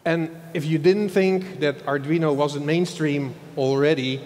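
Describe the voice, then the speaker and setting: A man's voice: on the high side, fairly even intonation, measured pace, a little mellow. A man with a Dutch accent, a marketing executive giving a loose, chatty conference talk, often tagging lines with "huh?". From Netherlands. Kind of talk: conference presentation. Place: large old event hall. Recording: long hall reverb, faint background noise.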